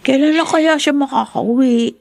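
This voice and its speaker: A woman's voice: high-pitched, normal speed, creaky, darkish tone, no echo. A woman playing a caring, chatty young schoolgirl in a Filipino radio drama, with a Filipino accent. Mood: comforting, concerned. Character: caring young schoolgirl, protective best friend.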